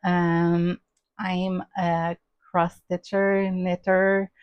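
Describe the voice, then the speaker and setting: Slow, hesitant woman with a Québécois French accent. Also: lowish voice, flat delivery, smooth, dry recording, highs cut off. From Quebec, Canada. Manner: hesitant, many "um" and "uh" fillers. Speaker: crafting channel host. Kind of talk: casual show-and-tell vlog.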